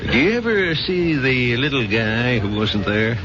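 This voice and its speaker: An actor playing a blunt, caring bar owner and old soldier in a 1970s radio drama, speaking emphatically and insistently. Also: male voice, medium pitch, big pitch swings, measured pace. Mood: hearty, friendly. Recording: muffled old radio broadcast, crowd behind.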